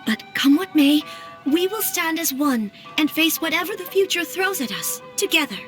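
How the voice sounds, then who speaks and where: High-voiced, polite young noblewoman. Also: lively intonation, normal speed. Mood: earnest. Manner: formal, gentle, hesitant. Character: polite young noble lady, earnest, anxious bride-to-be. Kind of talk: English video game voice acting. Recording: soft music behind the voice.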